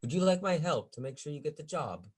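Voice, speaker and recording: Man reading as sweetly imperious high priestess witch. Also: big pitch swings, fast talker, a little mellow, husky. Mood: coaxing. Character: pushy, sinister high priestess witch, a woman. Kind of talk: table read of a film script.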